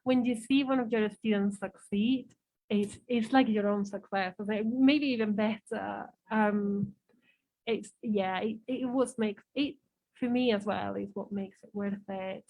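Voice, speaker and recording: A woman's voice: mid-range voice, steady tone, a little slow, darkish tone, low-quality recording. A woman from Spain with a Spanish accent, talking informally and encouragingly as a teacher leading a seminar discussion. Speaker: seminar speaker, English for academic purposes teacher.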